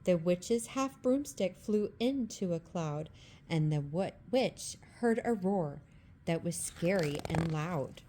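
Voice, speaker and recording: Calm, slow woman reading a bedtime story. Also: fairly low pitch, a little hiss or hum. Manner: calm, rhythmic rhyming narration. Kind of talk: bedtime story read-aloud, ASMR.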